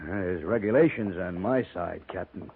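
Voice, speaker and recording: A man's voice: sits low, moderate pace, gravelly. An American man playing an insolent, cowardly army officer in 1950s radio drama, blustering with folksy grammar. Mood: defiant. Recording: noisy, narrow-band 1950s radio recording.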